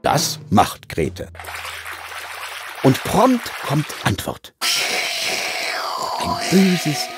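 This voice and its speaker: A man narrating a children's story in an expressive, theatrical way. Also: on the high side, very slow, pauses often. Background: music.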